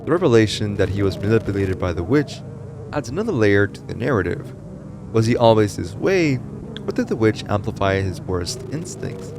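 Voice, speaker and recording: A man's voice: fairly low pitch, big pitch swings, normal speed, gravelly, background noise. A man reading scripted narration for a horror story explainer in a dramatic, ominous storytelling manner. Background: music behind the voice.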